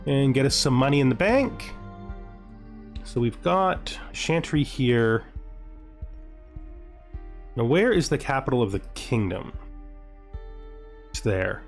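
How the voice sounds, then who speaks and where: Man thinking aloud over a game, music behind. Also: mid-range voice. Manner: asks himself questions, muses over choices. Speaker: gameplay host. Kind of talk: casual gaming commentary, thinking aloud.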